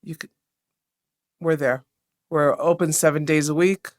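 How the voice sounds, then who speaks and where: A woman's voice: deep voice, steady tone, a little slow, a little mellow, dry recording, studio quality. A woman appearing as a podcast guest for a restaurant, talking in a friendly, upbeat, promotional way. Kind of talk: casual interview chat.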